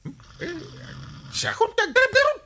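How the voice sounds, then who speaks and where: A man's voice: very high voice, huge pitch swings, a little slow, pushed and bright, slight background noise, full character voice. A man playing a flustered, hesitant village captain who sputters and exclaims in an Ilocano radio comedy drama. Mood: hesitant, awkward.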